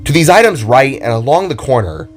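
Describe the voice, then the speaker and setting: A man narrating a scripted story in a dramatic, deliberate and theatrical way. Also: mid-range voice, very animated, soft background music. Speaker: narrator and author.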